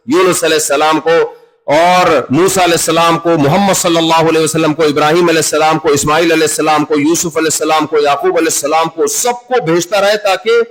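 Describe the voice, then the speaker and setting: A man's voice: on the high side, rough recording. A man with an Urdu-speaking Pakistani accent giving a sermon, talking conversationally and acting out little dialogues with everyday examples. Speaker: Islamic preacher.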